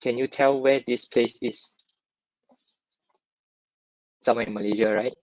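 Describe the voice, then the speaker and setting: A man's voice: mid-range voice, fairly even intonation, unhurried, a little mellow, no room sound. Singaporean man presenting, muffled online audio. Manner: conversational, checks in with listeners using short tag questions. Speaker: webinar speaker, stock dealer. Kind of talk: informal interactive webinar presentation. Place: dry close online webinar. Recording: dull lo-fi online call audio.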